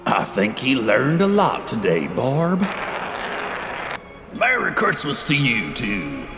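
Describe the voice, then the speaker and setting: Slow, folksy, echoing handyman. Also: medium pitch, wildly swooping pitch, crowd noise, full character voice. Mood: easygoing, fond. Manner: laid-back, folksy, halting. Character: folksy, easygoing ghostly handyman who lives in walls. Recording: echoey, long reverb, music underneath.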